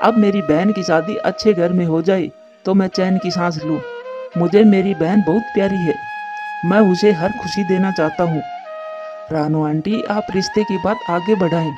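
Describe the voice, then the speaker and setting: Guy doing a caring earnest young cartoon bird brother, muffled lo-fi sound, flute music playing loud enough behind. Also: high voice, fairly even intonation, normal speed, close to an everyday voice. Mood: earnest, concerned. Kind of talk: Hindi cartoon story voice acting. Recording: dull lo-fi voice track.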